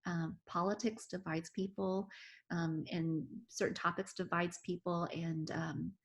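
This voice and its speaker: A woman's voice: on the low side, steady tone, a little slow, creaky. A woman physician giving an oral history interview, talking earnestly with many restarts and fillers. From United States.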